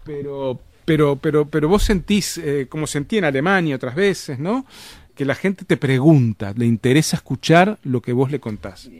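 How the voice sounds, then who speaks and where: A man's voice: fairly high pitch, animated, normal speed, darkish tone, no room sound. A man with an Argentine Spanish accent, telling stories in casual conversation, rambling with frequent restarts and tag questions. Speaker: interviewed author.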